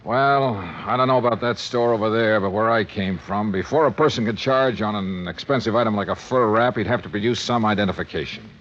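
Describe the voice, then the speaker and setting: A man's voice: on the low side, brisk, few pauses, a bit bright, slight background noise, close to an everyday voice. An American man playing a shrewd, wry private investigator in clipped 1950s radio drama style. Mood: brisk, probing. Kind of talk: 1950s radio drama acting and first-person narration. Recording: dull vintage 1950s radio recording.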